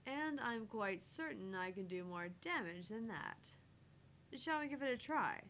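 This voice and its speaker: A sharp-tongued visual novel heroine, acted out by a woman, with lively, swooping intonation. She is dry and haughty, teasing as she narrates her thoughts. There is slight noise behind her. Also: fairly high pitch, unhurried. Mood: dry amusement. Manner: dry, taunting, theatrical.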